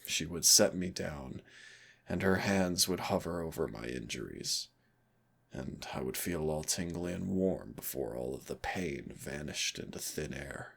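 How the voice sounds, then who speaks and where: A man playing a male demon roommate in an intimate audio roleplay, gravelly and smug, teasing and menacing yet tender as he speaks straight to the listener. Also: expressive, fairly bright tone, full character voice. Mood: wistful, tender. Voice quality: gravelly, low. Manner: slow, smug, teasing, addresses listener directly.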